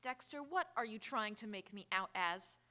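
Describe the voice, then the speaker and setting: A woman playing a proud, sharp-tongued young society bride in live radio theatre, with an imperious, teasing, emphatic manner. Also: mid-range voice, animated, normal speed. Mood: indignant. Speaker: stage radio actor. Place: live on an auditorium stage.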